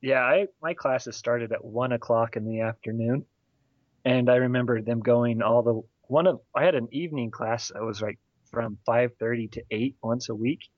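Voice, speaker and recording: A man's voice: medium pitch, moderate pace, dry recording, dull audio. A man in his forties telling stories from his student days and asking questions, often laughing mid-sentence. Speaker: podcast co-host asking questions. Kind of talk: casual chat.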